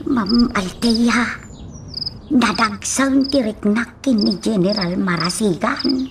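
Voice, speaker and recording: Secretive servant, Ilocano accent, slow halting speech, evasive, radio drama, clearly noisy backdrop. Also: female voice, on the high side, far from the mic. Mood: uneasy, guarded. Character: uneasy, secretive household servant woman, evasive and burdened.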